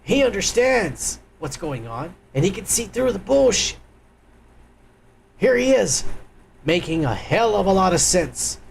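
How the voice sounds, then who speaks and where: A man's voice: sits high, big pitch swings, a little slow, gravelly, a little hiss or hum. A man hosting a live stream, ranting crudely and angrily in profane political commentary.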